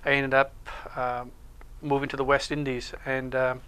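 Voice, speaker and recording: A Welsh man chatting casually in a local TV interview, talking about himself with lots of pauses and fillers. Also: mid-range voice, clean tone. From Wales. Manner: relaxed, hesitant with many uh fillers. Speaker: show co-host and security instructor.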